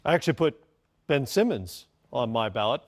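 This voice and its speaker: A man's voice: medium pitch, very animated, a little fast, a crowd in the background. A man hosting a studio sports panel, moving between scripted-sounding setups and conversational talk. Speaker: sports show host.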